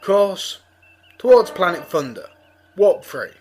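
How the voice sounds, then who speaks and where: A man playing a steady, thoughtful rabbit starship captain in a sci-fi audio drama, with a measured, authoritative way of talking. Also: sits high, soft background music, exaggerated voice. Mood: commanding.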